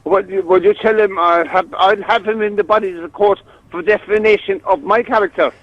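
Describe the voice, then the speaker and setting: A man's voice: high-pitched, fairly even intonation, fairly quick, no room sound. A man with a Cork accent on the telephone, arguing bluntly and indignantly with plenty of swearing. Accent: Cork, Ireland. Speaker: prank call victim. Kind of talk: heated phone conversation. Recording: muffled band-limited phone line.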